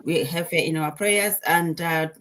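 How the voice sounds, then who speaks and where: Botswana-born woman host, slightly low, hurried and halting on a video call. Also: fairly even intonation, a bit bright. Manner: hurried, halting with many fillers. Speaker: host, digital business mentor. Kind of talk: live-stream chat.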